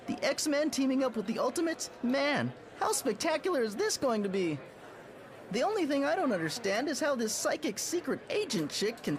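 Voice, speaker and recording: A performer playing a sarcastic, excitable teenage boy superhero in a motion comic, slangy and animated. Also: high voice.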